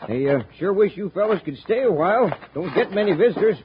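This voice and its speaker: An actor playing a folksy, friendly homesteader with a gravelly, husky voice in a 1950s radio serial. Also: male voice, sits high, animated, fast talker. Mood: neighborly. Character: folksy, friendly rustic Martian homesteader, lonely and helpful. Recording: muffled, hissy 1950s radio recording.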